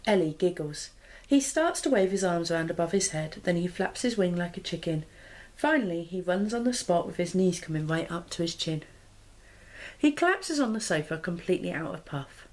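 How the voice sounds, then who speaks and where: A woman's voice: lowish voice, animated, moderate pace, a little mellow. A woman in her thirties reading a children's storybook aloud expressively. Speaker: mother and parenting vlogger. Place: small living room, indoors.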